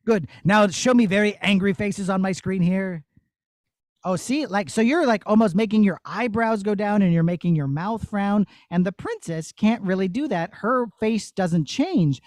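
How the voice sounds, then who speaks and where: Male puppeteer-teacher, high voice, casual and encouraging with children, close dry recording. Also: moderate pace, darkish tone, no room sound. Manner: encouraging, conversational, checking in with listeners. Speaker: puppeteer giving a master class. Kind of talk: casual explanation and demonstration for children.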